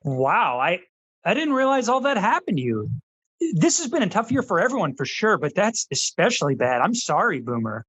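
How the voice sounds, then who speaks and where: An actor plays a young Zoomer co-host in a radio comedy sketch. The pitch leaps around a lot, and the talk is casual, slangy and exasperated. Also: very animated, moderate pace, a bit bright, slightly rough. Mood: sympathetic. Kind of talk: scripted comedy radio banter.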